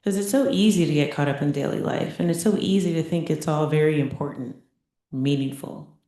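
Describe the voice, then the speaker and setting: A woman's voice: low-pitched, brisk, dark tone. A middle-aged woman giving a chatty Buddhist teaching, often checking in with "right?" and laughing lightly. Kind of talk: conversational dharma talk. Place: meditation center teaching room. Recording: very echoey room.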